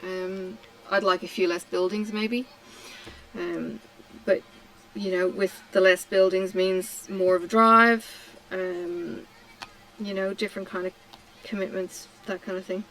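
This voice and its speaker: A middle-aged woman from Tasmania talks in a relaxed, unhurried way while she works on a craft. Her pitch sits in the middle, and she fills her answers with pauses and ums. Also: a little hiss or hum, rough recording. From Australia (Tasmania). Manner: slow, hesitant, many ums and pauses. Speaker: craft vlogger.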